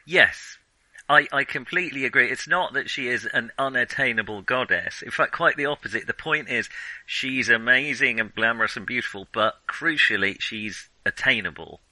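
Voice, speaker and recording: Male podcast co-host, bright and forward, hesitant and chatty, dry close mic. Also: medium pitch, normal speed, no echo, poor audio. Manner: chatty, hesitant with frequent ums, laughs easily. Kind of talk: casual film discussion.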